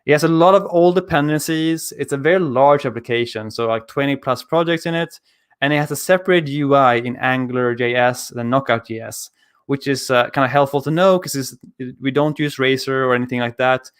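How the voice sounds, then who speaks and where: A man, presenting a conference talk over a video call in a relaxed, conversational way with many filler sounds. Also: medium pitch, fairly quick, smooth. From Sweden. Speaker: conference speaker. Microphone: studio mic on arm, video call.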